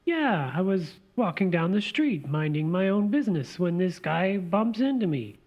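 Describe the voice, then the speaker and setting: A woman playing an earnest, timid partner in a radio play, defensive and expressive. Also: fairly low pitch, normal speed.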